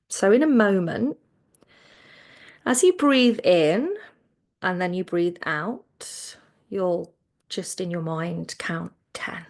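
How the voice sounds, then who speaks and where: A woman teaching guided relaxation, speaking in a soothing, gentle way with phrases that trail off softly. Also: lowish voice. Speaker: yoga nidra relaxation teacher.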